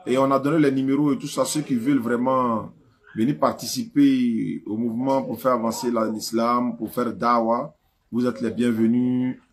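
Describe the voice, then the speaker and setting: A man's voice: medium pitch, level tone, moderate pace. A man with an Ivorian French accent hosting a live religious phone-in, talking informally to listeners and callers. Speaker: host of a religious call-in live stream. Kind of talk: live phone call-in talk.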